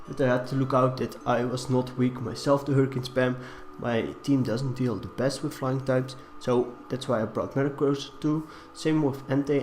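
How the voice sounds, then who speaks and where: A young man with a cold, talking quietly and hesitantly as he commentates on a game, with many fillers. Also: mid-range voice, slight background noise. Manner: deliberately quiet, subdued delivery. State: has a cold. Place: room in a shared flat.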